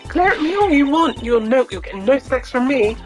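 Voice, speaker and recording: Stern female detective for a game, curt, grudging, pitched up, voiced by a woman. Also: expressive, moderate pace, soft background music. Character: stern, snack-munching female detective guarding a scene.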